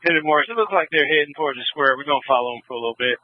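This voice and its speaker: A man's voice: fairly high pitch, expressive, racing, dry recording, phone-quality sound. A man, a police officer in the field, giving a running report over a radio. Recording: thin, band-limited police scanner feed.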